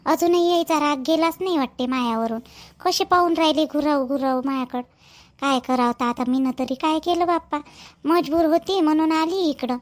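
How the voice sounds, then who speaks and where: A woman's voice: sits high, normal speed, lightly acted. A woman voicing a meek, dutiful young daughter-in-law in an amateur village cartoon, deferential and plaintive, with a Vidarbha Marathi accent. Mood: hurt, meek.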